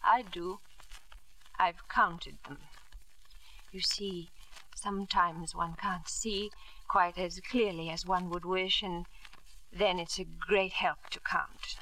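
An actress playing a bitter, grieving wife and mother, sardonic and deliberate, in old radio drama style. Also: on the low side, steady tone, pushed and bright, full character voice, poor audio. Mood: dazed, weary. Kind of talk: 1940s-50s radio drama acting.

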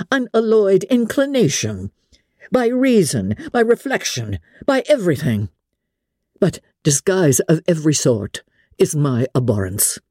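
A woman voicing a proud, haughty gentleman from a novel, speaking in a formal, measured and disdainful manner. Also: low-pitched, husky, no room sound, clean studio sound, voice pushed up, heavily acted. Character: proud, haughty wealthy gentleman, contemptuous and stiff.

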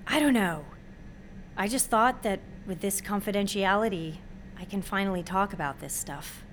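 A woman's voice: medium pitch, normal speed, fairly bright tone, natural voice. Brief: actress as intense young woman, twenties, wry and guarded, naturalistic audio drama, faint ambient noise. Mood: earnest, guarded.

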